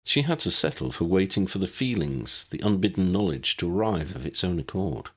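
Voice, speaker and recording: A man reads a story aloud as an audiobook narrator. His voice sits low and has a husky, slightly rough texture. The delivery is scripted narration. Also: animated, fairly quick.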